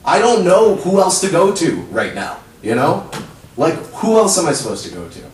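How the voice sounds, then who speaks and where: A young man whose first language is Urdu, speaking in a halting, pleading way at a public comment podium in a council chamber. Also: fairly high pitch, sing-song, a little mellow. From child of immigrants. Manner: halting, many restarts, rising to pleading urgency. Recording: roomy hall, distant mic, faint hum.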